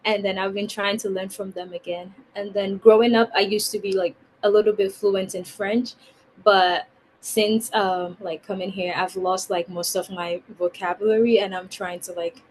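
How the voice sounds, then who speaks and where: A young woman, speaking as a student in an online class discussion. She talks casually and keeps filling her sentences with "like". It sounds like a relaxed video call contribution. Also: medium pitch, moderate pace, a little hiss or hum, rough recording. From Ghana. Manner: chatty, with many "like" fillers.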